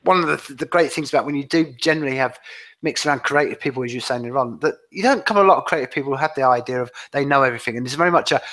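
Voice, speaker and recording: A man hosting a casual, animated conversation, rambling along with repeated words and matey asides. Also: medium pitch, racing.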